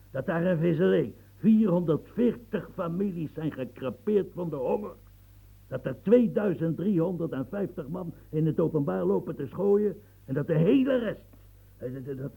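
An actor in a radio play voicing a husky, fiery old marshal in his seventies, who rants and stammers when flustered. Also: fairly high pitch, mellow, full character voice, rough recording. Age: 70s. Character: old marshal of seventy-three, fiery, long-winded reformer.

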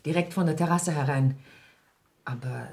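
A woman's voice: low voice, normal speed, gravelly, a little mellow, a little hiss or hum. An actress playing a modest middle-aged mother in a radio play, restrained and earnest with an anxious undercurrent. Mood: reserved, modest. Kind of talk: 1960s German radio play acting.

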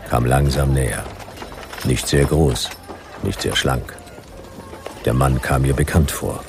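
Very deep hard-boiled detective. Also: male voice, takes it slowly, vocal fry, background noise, heavily acted. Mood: grim narration. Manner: terse, clipped phrases. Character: hard-boiled, wary detective hero, defiant and terse. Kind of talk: radio drama acting with noir narration.

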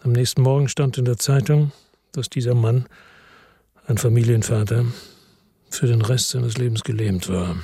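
A middle-aged, world-weary ex-cop narrating a radio play in a laconic, reflective way. His voice is dark and a little husky, recorded dry and close. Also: medium pitch, steady tone, normal speed, pauses often, no echo. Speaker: first-person narrator and lead.

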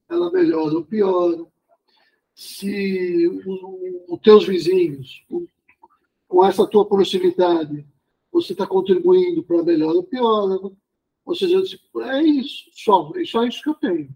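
Husky, high-voiced man; reflective group leader who explains at length and checks in with his listeners. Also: a little mellow, rough recording. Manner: musing, explanatory, frequent tag questions.

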